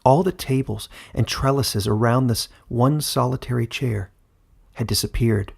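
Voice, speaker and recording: A man narrating a scripted fantasy story as an audiobook, in a measured storytelling manner. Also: medium pitch, gravelly, slightly rough.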